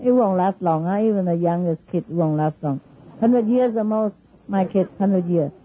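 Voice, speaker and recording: A woman's voice: lowish voice, normal speed, warm tone, slightly rough, muffled recording. A middle-aged woman with a Vietnamese accent, a spiritual teacher giving an informal, chatty talk to her disciples, laughing often between her phrases.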